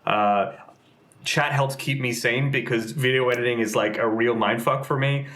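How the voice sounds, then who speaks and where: A man's voice: mid-range voice, steady tone, normal speed, fairly bright tone. Casting: young man guest, rambling and filler-heavy, conversational. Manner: rambling, lots of fillers and restarts. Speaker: game community manager and streamer. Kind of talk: casual interview chat.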